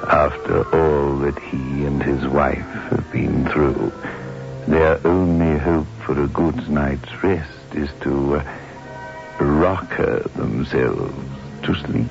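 A man playing a sinister, gleeful horror radio host, with slow, droll, mock-ghoulish delivery in 1960s style. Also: very deep voice, vocal fry, darkish tone, full character voice. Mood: macabre amusement. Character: sinister, gleeful male horror show host with macabre puns. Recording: muffled old 1960s radio recording. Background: music.

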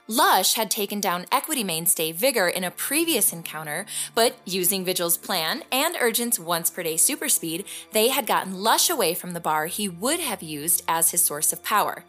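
A woman with a medium-pitched voice narrates as podcast host. Her scripted delivery is conversational and turns to rhetorical questions, with music underneath. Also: fairly bright tone.

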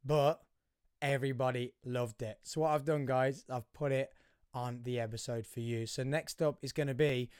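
A hoarse man with a husky voice, hosting his own podcast in a chatty, rambling solo intro. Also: mid-range voice, steady tone, moderate pace, a little mellow, no echo, studio quality. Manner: chatty, rambling, addresses listeners as guys. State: hoarse, voice fading. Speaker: podcast host.

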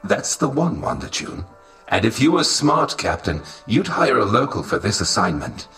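An actor playing a cold, courteous robot royal advisor who speaks formally and with condescension. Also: male voice, mid-range voice, very animated, moderate pace, pushed and bright, roomy sound, far from the mic, soft background music. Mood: menacing. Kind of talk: scripted audio drama acting.

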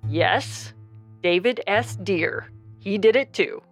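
Woman guest, sheepish, confiding, medium pitch. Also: expressive, slow pace, soft background music. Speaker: interview guest, audio drama creator. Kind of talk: conversational interview.

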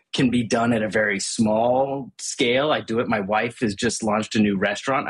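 A middle-aged man from Hong Kong speaks as a guest in a podcast interview. His low voice has a husky edge, and he explains his thinking patiently, often restarting a phrase. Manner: thoughtful, explanatory, with frequent restarts. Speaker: guest, brand strategist.

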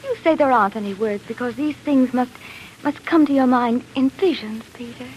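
A woman's voice: fairly high pitch, moderate pace, highs cut off, slight background noise, natural voice. An actress playing a tender young woman in love, pleading and romantic, in 1940s radio drama style. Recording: 1940s radio broadcast, faint music.

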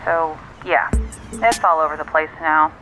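A woman's voice: sits low, a little slow, a bit bright, faint music behind. A woman playing a frank, dry-witted friend delivering bad news over a phone line in an audio drama, matter-of-fact and pointed. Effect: phone filter. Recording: dull, band-limited phone line.

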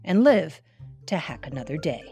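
A woman's voice: sits low, sing-song, normal speed, warm tone, soft background music. A woman hosting a cyber news podcast, reading scripted narration in a conversational way. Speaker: podcast host.

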